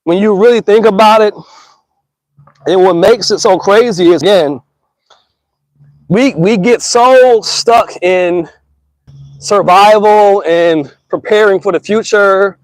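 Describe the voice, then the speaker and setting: A man in his thirties with a notably high voice, talking to his listeners in an earnest, rambling way. He slips into slang, restarts his sentences and calls everyone bro and y'all. The sound is close and dry, with no room around it. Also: slow pace, long pauses, no echo, low-quality recording. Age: 30s. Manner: rambling with frequent restarts and stammers. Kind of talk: casual reflective monologue.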